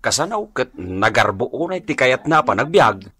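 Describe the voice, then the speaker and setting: A man's voice: medium pitch, very animated, normal speed, sharp tone, husky, heavily acted. A man playing an exasperated, worried husband in a radio comedy drama, complaining plaintively with an Ilocano accent. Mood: troubled.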